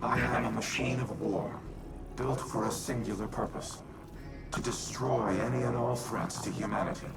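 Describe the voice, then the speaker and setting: A man's voice: mid-range voice, fairly even intonation, normal speed, creaky, loud music behind the voice, lightly acted. An actor voicing a brooding artificial war machine in a video game, formal and precise in his speech. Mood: resolute. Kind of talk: dramatic video game monologue.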